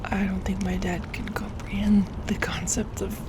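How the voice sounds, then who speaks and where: Casting: actress for soft-spoken, apologetic young woman resisting a hunger, audio drama, very even pitch, steady vehicle noise behind. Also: close to an everyday voice. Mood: uneasy, reluctant. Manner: hesitant, halting, soft-spoken. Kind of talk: audio roleplay drama acting.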